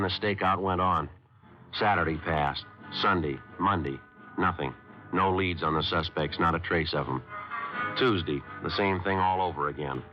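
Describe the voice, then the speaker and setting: An actor playing a terse police sergeant who narrates his cases in a clipped, flat deadpan, from a muffled 1950s radio drama. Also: low-pitched, natural voice.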